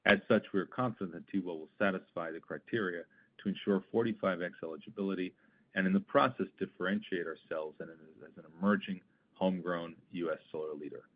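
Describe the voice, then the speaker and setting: A man delivering scripted earnings call remarks as a chief executive, in a formal, emphatic corporate style. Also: low voice. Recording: thin phone-like conference call line.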